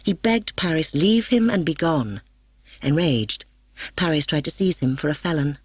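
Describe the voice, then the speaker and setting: Woman audiobook narrator, husky voice, solemn n expressive, very dry close studio sound. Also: low-pitched, normal speed, no echo. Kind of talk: dramatic scripted story narration. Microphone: close studio microphone, dry.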